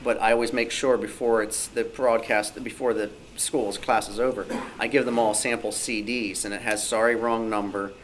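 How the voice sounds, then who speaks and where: A man's voice: lowish voice, level tone, normal speed, hardly any pauses, poor audio. A man speaking informally on a panel at a club meeting, explaining things with examples and asides. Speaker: club newsletter editor, panelist. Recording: noticeable background noise.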